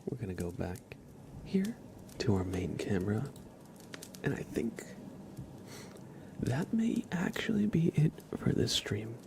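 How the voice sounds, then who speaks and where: A man hosting a casual ASMR livestream, speaking softly and informally, often slipping into a breathy whisper. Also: mid-range voice, a little slow, pauses often, background noise. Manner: relaxed, informal, uses y'all. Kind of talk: casual ASMR stream chat.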